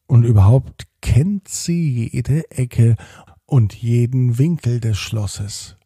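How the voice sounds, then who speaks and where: Slow, very mellow male bedtime storyteller. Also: medium pitch. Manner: gentle, unhurried storytelling to a child. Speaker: host and narrator of a children's bedtime podcast. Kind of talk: scripted bedtime story narration.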